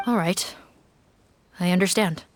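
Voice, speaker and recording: A woman voicing a wary, subdued officer who raises a quiet concern. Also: on the low side, a little slow, slight background noise, lightly acted.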